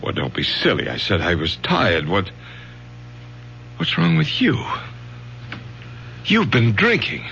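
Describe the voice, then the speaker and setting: A man's voice: on the low side, huge pitch swings, takes it slowly, vocal fry, long pauses, pushed and bright, heavily acted. A man playing a tired, testy, controlling middle-aged father in a radio drama. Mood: testy, weary. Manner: stammering, then clipped and commanding. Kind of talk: 1970s radio drama acting. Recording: dull, slightly noisy old radio recording.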